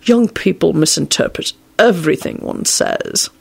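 A woman's voice: sits low, huge pitch swings, moderate pace, creaky, a little hiss or hum, exaggerated voice. A woman voicing a sneering, weary dead headmaster man who speaks from a portrait in a haughty, disdainful drawl. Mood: sulky scorn.

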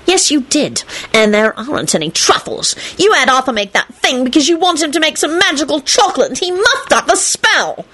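A woman voicing a shrill, jealous young woman who yells out drawn-out accusations. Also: big pitch swings, exaggerated voice. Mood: furious.

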